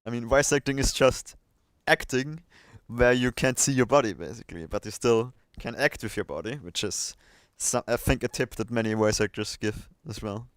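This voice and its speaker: A man's voice: medium pitch, normal speed, dry recording. A man hosting a casual livestream chat, thinking aloud and hesitating as he goes. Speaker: livestream host.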